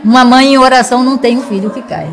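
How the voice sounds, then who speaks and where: A woman's voice: sits high, fast. A middle-aged businesswoman from Rio with a Carioca accent, speaking earnestly as a guest in a small studio. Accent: Carioca Brazilian Portuguese. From Rio de Janeiro, Brazil. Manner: earnest, forthright. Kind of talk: casual interview chat. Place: small studio in front of a logo wall. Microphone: studio mic on boom arm.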